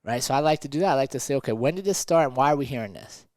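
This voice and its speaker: A man's voice: mid-range voice, expressive, very fast, darkish tone, no room sound, clean studio sound. A man talking conversationally as a motivational podcast host and coach, emphatic and fond of rhetorical questions.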